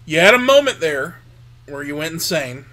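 A man co-hosting a comedy podcast with a fairly high, bright voice. He trades wisecracks and teases his partner in loose, casual banter. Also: big pitch swings, normal speed. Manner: wisecracking, teasing. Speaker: podcast co-host.

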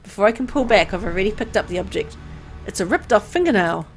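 Expressive woman narrating a horror story. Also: medium pitch, fast talker, faint music behind. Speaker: narrator reading a visual novel aloud. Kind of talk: dramatic scripted narration.

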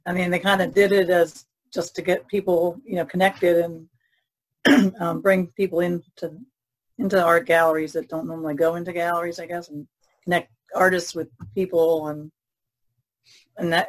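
A woman's voice: fairly low pitch, level tone, moderate pace, poor audio. Easygoing woman artist chatting. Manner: easygoing, hesitant with ums. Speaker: artist guest. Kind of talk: casual chat. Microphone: video call.

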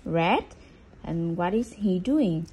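Slow, soft-toned woman teaching. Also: lowish voice, lively intonation, slightly rough. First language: Vietnamese. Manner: short questions, repeating and correcting words. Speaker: mother teaching her child English. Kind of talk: prompting a child through a picture book. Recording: faint ambient noise, occasional clicks.

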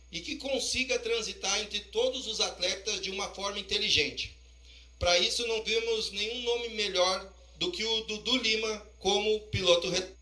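A man's voice: high voice, pushed and bright. A middle-aged man from Brazil announcing team riders at a press conference, speaking through a handheld microphone in an indoor press room. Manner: measured, formal explaining. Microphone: handheld mic. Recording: roomy echo.

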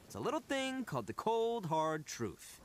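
An actor voicing a brash, proud, earnest young oni gang boss in an anime game, telling things colloquially with dramatic emphasis. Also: male voice, high-pitched, wildly swooping pitch, normal speed, slight background noise. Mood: storytelling, earnest. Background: game music.

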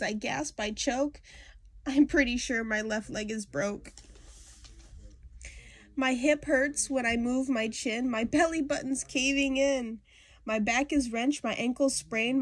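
A woman puts on a little girl from a storybook poem, high and loud. She is plaintive and melodramatic, complaining like a great sufferer. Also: takes it slowly, no echo. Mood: whiny, suffering. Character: whiny little girl dramatically listing her ailments.

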